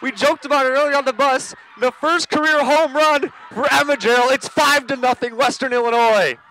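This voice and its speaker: A man calling a softball game live on the radio, measured between plays and ready to burst into excited calls. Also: high voice, poor audio. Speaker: play-by-play sports commentator.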